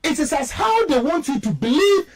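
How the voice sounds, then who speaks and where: High-pitched, animated Ghanaian man, emphatic. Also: normal speed, faint music behind. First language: Twi. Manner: emphatic, accusatory, declamatory. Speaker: radio commentator. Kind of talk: political talk radio commentary, mixing local language and English.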